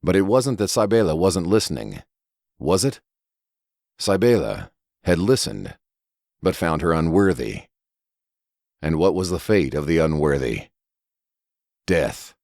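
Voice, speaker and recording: A man narrates a dark horror story in dramatic fashion. His voice is very deep and moves expressively through the text. The sound is dry and close. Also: sing-song, a little slow, creaky, long pauses, dry recording. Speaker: audiobook narrator.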